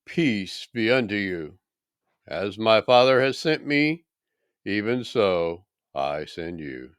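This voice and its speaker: Risen holy teacher for scripture drama: calm authority, solemn, deliberate, smooth and resonant, very dry sound male reader. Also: on the low side, no room sound. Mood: solemn blessing.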